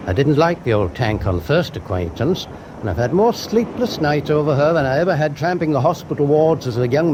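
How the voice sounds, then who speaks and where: With noisy ship ambience around him, a man plays a British naval doctor in a radio drama. He is blunt and wry, with a very animated, slightly high voice. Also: close to an everyday voice. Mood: frank, stubborn. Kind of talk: full-cast radio drama acting.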